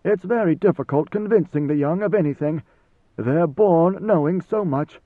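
A man reading an audiobook, voicing an ancient, weary god-emperor who speaks slowly and solemnly. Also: a little high, dry recording, voice pushed up, natural voice. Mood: brooding. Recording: thin phone-like dry sound.

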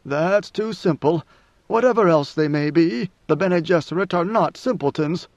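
A man voicing an ancient, brooding male God Emperor who speaks in a slow, measured way, with weary authority. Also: a little high, darkish tone, no echo, pitched above the performer's own voice, lightly acted. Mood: stern. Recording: dry, slightly muffled recording.

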